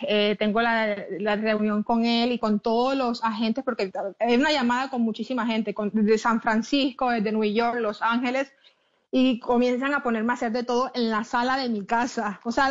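Woman, Colombian Caribbean coast accent, fairly high voice, muffled lo-fi sound. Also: moderate pace. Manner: animated, frequent restarts and repetitions, mixes in English words. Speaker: plus-size model, guest. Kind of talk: interview, telling her story. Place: her home in the Netherlands.

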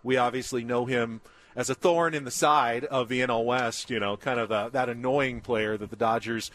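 American guy hosting sports radio, chatty interview style, medium pitch. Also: fairly even intonation, moderate pace, fairly bright tone. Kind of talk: conversational sports talk and interviewing. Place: on location at a baseball spring training camp.

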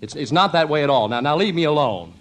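A man's voice: a little high, animated, fast, fairly bright tone. An actor playing a stubborn, flustered husband and father in 1950s radio comedy, stammering through his lines on a noisy old recording. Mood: irritated, defensive.

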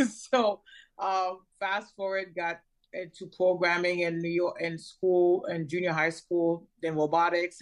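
A woman in her forties from Haiti, a guest on an interview show, talking about her career in an enthusiastic, chatty way with frequent laughs. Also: deep voice. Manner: warm, enthusiastic, laughs often, many fillers. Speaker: guest, cybersecurity company founder. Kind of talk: conversational interview.

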